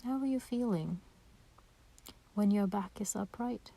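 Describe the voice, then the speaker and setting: A woman leading a guided meditation in a soft, breathy voice, gentle and soothing, with unhurried pauses. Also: mid-range voice.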